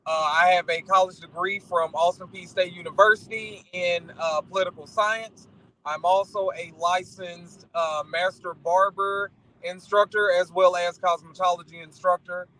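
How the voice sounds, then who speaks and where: A man's voice: high voice, steady tone, moderate pace, sharp tone, low-quality recording. An American man speaking as a guest on a talk show, in casual motivational conversation.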